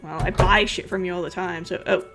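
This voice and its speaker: A woman's voice: fairly low pitch, fairly even intonation, a little fast, over music. A woman doing casual let's play commentary with a blunt, sarcastic manner. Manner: sarcastic, blunt, salty-mouthed. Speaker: gaming video host.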